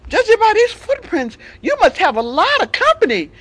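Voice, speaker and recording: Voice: extremely high pitch, huge pitch swings, moderate pace, fairly bright tone, pitched above the performer's own voice, heavily acted. A storyteller voicing a clever, cautious male fox who politely talks his way out of danger. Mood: wary. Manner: polite, wary.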